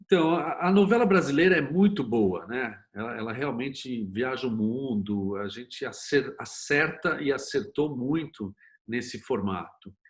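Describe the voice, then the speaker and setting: A Brazilian man with a medium-pitched voice speaks as a guest in an interview. He explains conversationally, telling things like little stories. He often closes a thought with a short tag question. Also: expressive, unhurried. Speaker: interview guest, series producer and screenwriter.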